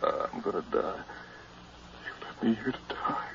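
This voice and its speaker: An actor playing a frightened man trapped alive in a coffin, halting between muttering and desperate cries, in 1960s radio horror style. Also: a bit bright, natural voice. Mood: despairing. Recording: dull, hissy vintage radio recording.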